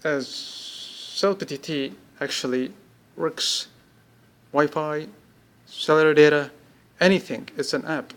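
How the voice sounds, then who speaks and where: A man giving an informal, unscripted product demonstration, speaking haltingly in short pieced-together bursts. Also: a little high, a bit bright. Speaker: app demonstrator.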